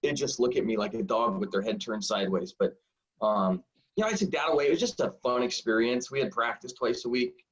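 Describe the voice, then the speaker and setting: A man in his forties, a high school football coach, telling stories in a rambling, self-deprecating way full of fillers. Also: fairly quick. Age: 40s. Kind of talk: casual storytelling interview. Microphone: video call. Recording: dull lo-fi video call audio.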